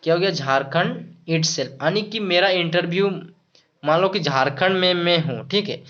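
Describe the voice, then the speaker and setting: A man teaching English to Hindi speakers. He repeats himself, restarts words and slows right down when he says the English examples. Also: high voice, fairly bright tone. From Jharkhand, India. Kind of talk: explaining English phrases in Hindi. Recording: dull lo-fi sound.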